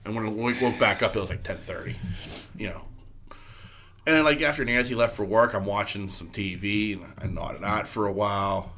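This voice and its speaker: A man's voice: fairly low pitch, a little fast, pushed and bright, a little hiss or hum. A man co-hosting a podcast, chatting casually with a stuffy, congested voice, rambling, swearing and filling his talk with "like". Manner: rambling, swears freely, fills with "like". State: congested from a cold. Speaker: podcast co-host. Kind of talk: casual chat.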